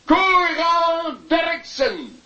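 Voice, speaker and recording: An actor playing a pompous radio advertising announcer, proclaiming slogans in a drawn-out, declamatory way. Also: male voice, very high voice, big pitch swings, pushed and bright, exaggerated voice. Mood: grandiose. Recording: roomy, dull taped playback.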